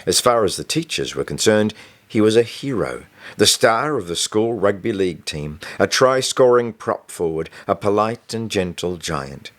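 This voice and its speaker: Deep-voiced man narrating a story. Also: expressive, moderate pace. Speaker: author reading own novel. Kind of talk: scripted audiobook narration, first-person storytelling.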